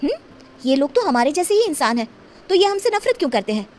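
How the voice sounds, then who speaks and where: A woman's voice: high-pitched, big pitch swings, fast talker, a little mellow, slight background noise. A woman playing an emotional young transgender woman who becomes a vengeful witch ghost in a cartoon story. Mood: curious, coy. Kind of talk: animated Hindi moral story acting. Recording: music behind the voice.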